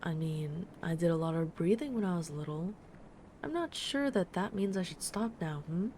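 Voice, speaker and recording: A woman playing a gentle, affectionate dragon friend in an intimate comforting audio roleplay, speaking softly and tenderly to the listener. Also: fairly low pitch, animated, slight background noise, close to an everyday voice. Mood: earnest, thoughtful. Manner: soft-spoken, tender, unhurried reassurance. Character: gentle, affectionate female dragon friend who loves soft, cuddly plushies.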